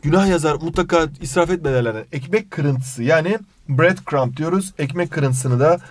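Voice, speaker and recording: A man's voice: on the high side, normal speed. A man with a Turkish accent teaching an English lesson in a jokey way, playfully scolding as he goes. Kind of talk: scripted lesson with playful banter.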